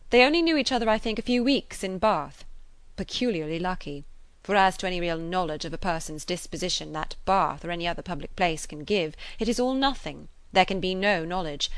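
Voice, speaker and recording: A woman reading a classic novel, voicing a lively, cynical young gentleman with an animated, emphatic delivery. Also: fairly low pitch, smooth, no echo. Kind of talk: classic novel character dialogue.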